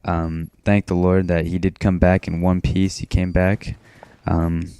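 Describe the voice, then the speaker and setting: A teenage boy from Maine rambling in a self-described monotone, talking casually over his gameplay with frequent restarts. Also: deep voice, a little slow, clear, resonant tone. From Maine, USA. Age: teens. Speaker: gaming commentator.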